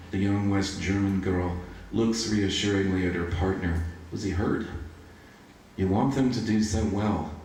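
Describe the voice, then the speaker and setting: A man reading poetry aloud at a live event in a measured way. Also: deep voice, a little mellow. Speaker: poetry reader. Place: bookshop event, recorded from a distance. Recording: echoey room from far off, faint noise.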